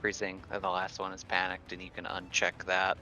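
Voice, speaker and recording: A man's voice: sits low, completely flat delivery, a little fast, pushed and bright, soft background music. A man playing a tabletop roleplaying game, chatting casually in a hesitant, wry manner.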